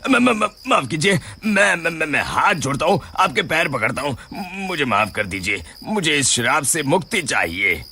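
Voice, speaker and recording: A man's voice: fairly high pitch, big pitch swings, normal speed, hardly any pauses, bright, forceful tone, breathy edge, exaggerated voice. A man playing a sly, greedy shop servant who stammers when flustered, in a Hindi moral-story cartoon. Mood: panicked, pleading.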